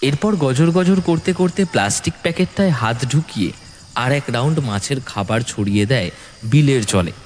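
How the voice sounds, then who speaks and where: A man narrating a scripted horror story in a dramatic, suspenseful way with deliberate phrasing. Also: mid-range voice, expressive, darkish tone, a little hiss or hum.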